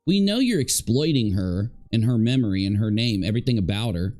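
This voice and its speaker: A man's voice: on the low side, expressive, fairly quick, darkish tone. A man commenting live, reacting to clips and talking to his chat in a sarcastic, rambling way. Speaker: livestream commentator.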